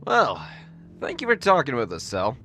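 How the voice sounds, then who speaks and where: A man's voice: medium pitch, huge pitch swings, moderate pace, a bit bright, faint music behind. A man playing an inquisitive radio show interviewer in an audio drama. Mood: curious, presenting.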